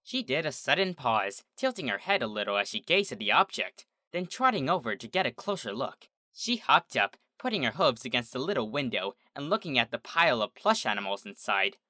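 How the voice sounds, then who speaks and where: An expressive storyteller reads comedic fiction in a bright, forward voice. The pitch moves around in an animated way. The sound is close and dry, with music playing underneath. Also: a little fast, hardly any pauses, no room sound. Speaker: story reader. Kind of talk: scripted story narration of a comedy fan fiction.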